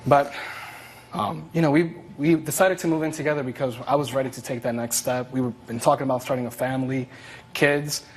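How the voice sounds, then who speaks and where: A man's voice: medium pitch, steady tone, brisk, a little hiss or hum, rough recording. A young man from the Dominican Republic, the plaintiff in a TV court case, telling his story in a rambling way. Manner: rambling, many fillers and restarts. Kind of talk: courtroom testimony.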